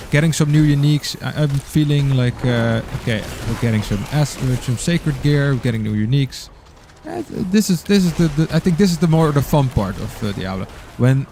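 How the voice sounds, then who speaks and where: A man's voice: mid-range voice, a little mellow, slight background noise. A man with a Dutch accent giving casual gameplay commentary as a streamer, rambling and sometimes searching for words. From Netherlands. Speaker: game streamer.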